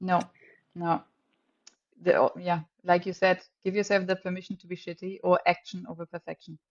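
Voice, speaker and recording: German-native woman, lively podcast guest. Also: fairly low pitch, moderate pace, dull audio. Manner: enthusiastic, laughs often, self-corrects. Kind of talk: casual podcast conversation.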